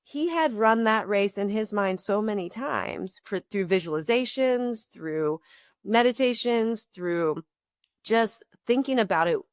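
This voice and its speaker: A woman's voice: medium pitch, expressive, a little slow, dry recording, studio quality. A woman guest on a podcast, explaining ideas in long, building sentences with everyday examples. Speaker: podcast guest, company co-founder. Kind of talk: conversational explaining.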